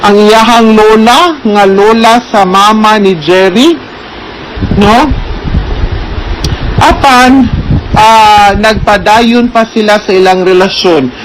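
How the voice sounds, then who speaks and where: A man's voice: high-pitched, takes it slowly, pauses often, fairly bright tone, a little hiss or hum, low-quality recording. A man with a Filipino accent giving advice as a programme host, explaining conversationally, switching into English and adding frequent check-in tags.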